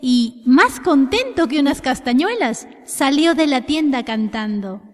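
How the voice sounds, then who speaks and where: A woman narrating a scripted children's tale in an expressive storytelling style. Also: sits high, unhurried. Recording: long hall reverb.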